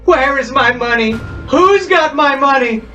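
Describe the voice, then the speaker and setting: A man voicing the ghost of a dead woman, wailing for her money in a slow, high, drawn-out cry. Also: lively intonation, sharp tone, dull audio, soft background music, exaggerated voice.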